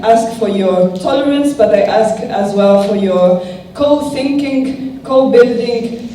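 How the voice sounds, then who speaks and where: A Tunisian woman gives an unscripted keynote at a conference, pausing often and searching for words. Her voice is dark and mellow. It is picked up from a distance in a very echoey hall, with faint room noise behind her. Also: medium pitch. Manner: hesitant, many fillers, thinking aloud. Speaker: keynote speaker. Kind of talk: improvised conference speech.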